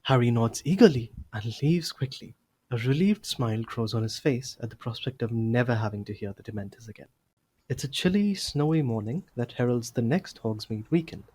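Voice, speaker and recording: Male story narrator, medium pitch. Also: moderate pace, darkish tone, slightly rough.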